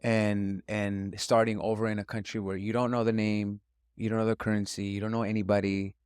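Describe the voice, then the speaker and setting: A man from Northern California speaking as a chef in a casual interview, in an earnest, reflective and halting way. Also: on the low side, level tone, a little mellow, clean tone, dry recording, clean studio sound. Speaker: chef and restaurant guest.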